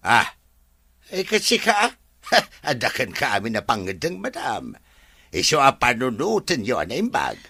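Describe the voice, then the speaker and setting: A man's voice: mid-range voice, huge pitch swings, unhurried, vocal fry, bright, forceful tone, breathy edge, dry recording, full character voice. A man playing a cautious, trusted aide to a madam in an Ilocano radio drama, hesitantly giving advice. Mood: taken aback, cautious.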